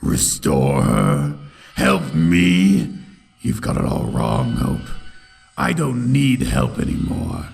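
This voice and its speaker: A man playing a bitter, menacing pony tyrant in a dramatic comic dub, with a gravelly growl and brooding, theatrical delivery. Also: rumbling low, big pitch swings, slow, darkish tone, roomy sound, faint music behind, full character voice. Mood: menacing triumph.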